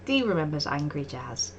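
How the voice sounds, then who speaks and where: A woman's voice: fairly high pitch, darkish tone, lo-fi sound, slight background noise. A woman streaming live, chatting casually and telling stories with frequent laughs and acted-out quotes. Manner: chatty storytelling, often laughing, acting out quotes. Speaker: art streamer.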